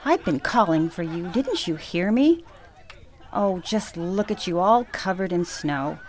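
A woman playing a loving, bustling grandmother in a sentimental radio play, expressive, chatty and affectionately teasing. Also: lowish voice, measured pace.

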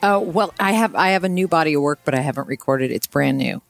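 A woman chats as a guest on a podcast. She is candid and quick to joke, in an informal conversational style. The recording is dry and close. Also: low voice, vocal fry, dry recording, faint music behind. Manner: candid, joking. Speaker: podcast guest, singer-songwriter. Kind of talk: casual chat.

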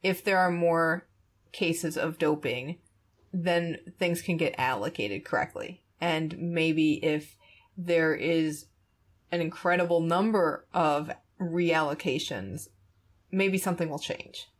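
A woman's voice: low voice, slow. A woman hosting a podcast in a casual, conversational style, chuckling often as she talks. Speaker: podcast host.

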